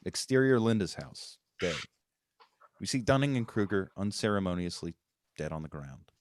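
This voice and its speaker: A man's voice: low voice, animated, normal speed, dry recording. A man, the writer, reading out screenplay stage directions as narrator at a live table read.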